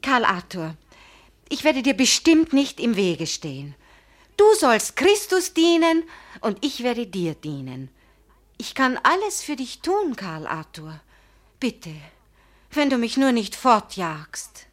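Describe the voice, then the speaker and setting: A woman's voice: on the high side, big pitch swings, takes it slowly. A woman playing a proud, passionate young fiancée in a radio drama, speaking emphatically and with dramatic feeling. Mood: pleading, tender. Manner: emphatic, dramatic, swinging from indignant to tender. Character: proud, passionate young fiancée pleading with her pastor beloved. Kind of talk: German radio drama acting.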